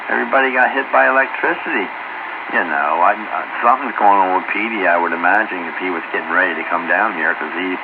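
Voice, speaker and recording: A man with a lowish voice chats over a dull, band-limited radio link with clear noise behind him. He rambles in a folksy, casual way and often repeats himself. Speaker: radio operator chatting on a group call. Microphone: radio transmission.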